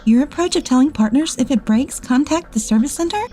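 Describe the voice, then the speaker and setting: Woman acting, assertive office lady, high mellow voice, animated manga-dub drama, music underneath. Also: a little fast. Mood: composed. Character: bitter, bossy female ex-boss, and calm capable female employee. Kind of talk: dubbed comic drama narration.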